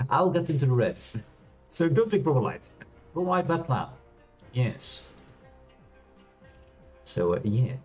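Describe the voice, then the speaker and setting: Lively-voiced male streamer, chatty and loud. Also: fairly high pitch, dull audio. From Europe. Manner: chatty, rambling, laughs often, many fillers and restarts. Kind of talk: casual gameplay commentary. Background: quiet game music.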